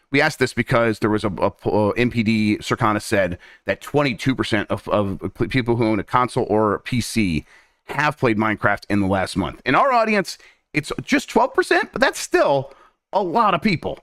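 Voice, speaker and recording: Male co-host, young adult, loose rambling delivery, lively pitch, dead-dry sound. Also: moderate pace, dry recording. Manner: animated, rambling, many fillers. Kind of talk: casual podcast chat.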